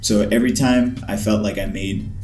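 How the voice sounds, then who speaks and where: Low-voiced man in a roomy space. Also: fairly even intonation, a little mellow. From Pakistan. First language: Urdu. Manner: reflective, measured storytelling. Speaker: guest, basketball trainer. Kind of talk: casual interview conversation. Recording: roomy echo, faint music behind.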